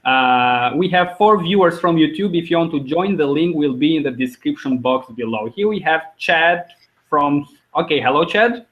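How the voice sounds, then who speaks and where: A man's voice: a little high, expressive, moderate pace. A young man from Albania with an Albanian accent, teaching an informal online language lesson as host. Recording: dull lo-fi video call audio.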